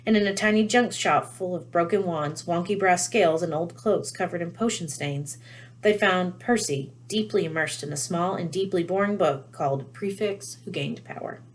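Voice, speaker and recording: A woman reading a children's novel aloud in an expressive storytelling style. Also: lowish voice, moderate pace. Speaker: read-aloud reader.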